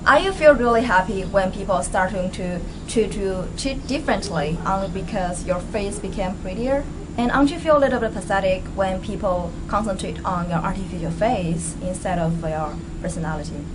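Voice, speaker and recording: A woman's voice: mid-range voice, normal speed, few pauses, noisy background. A young woman whose first language is Chinese speaks English in a blunt, candid opinion vlog, sounding tired. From ethnically Korean. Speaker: vlogger. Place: small indoor room, plain wall.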